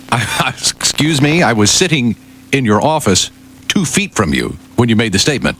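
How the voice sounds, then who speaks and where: A man's voice: mid-range voice, huge pitch swings, moderate pace, a bit bright, a little hiss or hum, rough recording. A man working as a radio sidekick, bantering casually in an emphatic, protesting way.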